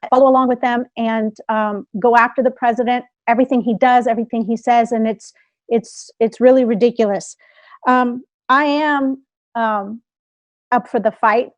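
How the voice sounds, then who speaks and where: A woman's voice: a little high, darkish tone, no echo, highs cut off. A woman running for office, answering a debate question with many hesitations. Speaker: political candidate.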